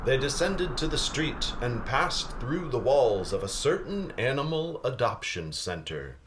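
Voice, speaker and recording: A man's voice: mid-range voice, very animated, a little slow, noisy background. A man narrating a radio play like a storybook, with dramatic, mock-literary delivery. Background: music.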